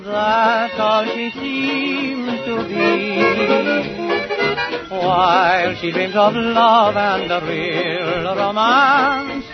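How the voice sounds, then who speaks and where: A high-voiced singer crooning with a 1930s dance band on an old radio recording. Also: male voice, extremely slow, long pauses, dull audio, over music.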